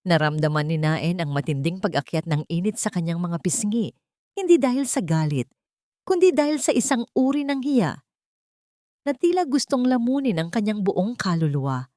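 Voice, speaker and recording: A woman's voice: lowish voice, expressive, normal speed, warm tone, dry recording, clean studio sound. A woman reading a scripted love story as a narrator, with a solemn, dramatic delivery.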